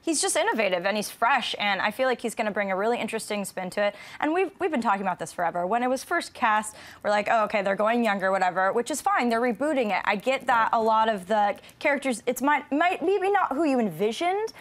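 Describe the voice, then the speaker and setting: A woman leads a casual panel discussion as host. Her voice is fairly high, and she talks in loose, slangy, informal language. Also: lively intonation, quick pace, hardly any pauses. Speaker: panel host of movie news show.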